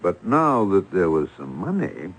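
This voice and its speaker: A smooth-talking schemer in an old-time radio play. His voice sits fairly low but moves widely, with a dry, wry storyteller's touch. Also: very animated, a little slow, exaggerated voice. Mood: wry storytelling.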